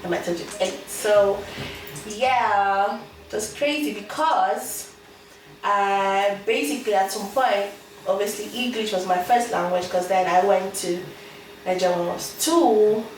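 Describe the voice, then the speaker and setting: Relaxed chatty woman, echoey distant sound. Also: medium pitch, unhurried, a little hiss or hum. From Rome, Italy. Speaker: guest, caterer. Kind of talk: casual interview chat. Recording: echoey room, distant mic, music behind.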